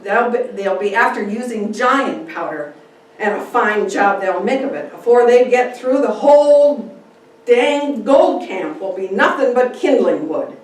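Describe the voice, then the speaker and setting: A woman's voice: on the high side, animated, unhurried. A woman playing a disgruntled townsman with folksy, grumbling speech in a story reading. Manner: grumbling, folksy dialect phrasing. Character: gruff disgruntled townsman in a gold camp. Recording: roomy echo, distant mic, faint noise.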